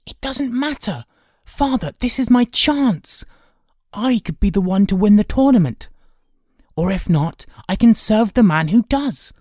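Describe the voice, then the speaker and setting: Woman playing an eager, excitable young man, animated. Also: mid-range voice, a little slow, no room sound, studio quality. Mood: pleading.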